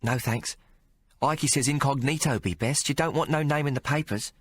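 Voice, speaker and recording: A man voicing a cocky, streetwise boy urchin in shrill, excited shouts. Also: normal speed, dry recording, lightly acted. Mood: cheeky, wary.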